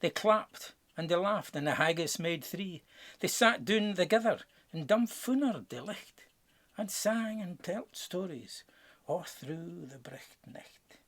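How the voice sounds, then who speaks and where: Scottish male narrator for a rhyming picture book, unhurried and theatrical, with a gravelly edge. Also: high-pitched, expressive, fairly bright tone. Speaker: storybook narrator. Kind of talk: dramatic rhyming verse storytelling.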